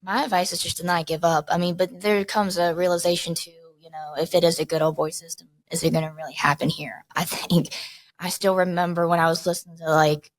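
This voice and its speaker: A soft-spoken woman, a police dog handler, chatting casually as a guest on a podcast, with relaxed fillers. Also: fairly low pitch, fairly quick, no echo, low-quality recording.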